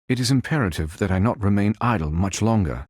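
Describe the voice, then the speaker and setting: Deep, formal android superhero. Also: male voice, animated, brisk, darkish tone, no echo, clean studio sound. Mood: measured, composed. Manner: formal, precise, stilted robotic phrasing. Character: calm, noble android superhero, adult male, formal and logical. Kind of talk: video game character voice lines.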